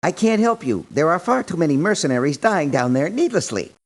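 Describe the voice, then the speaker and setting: Prim, high-voiced, principled mercenary. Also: male voice, big pitch swings, brisk. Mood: stiff disapproval. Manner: formal, wordy, precise, reproachful. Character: prim, principled, formal male mercenary, politely disapproving. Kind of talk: video game character voice lines.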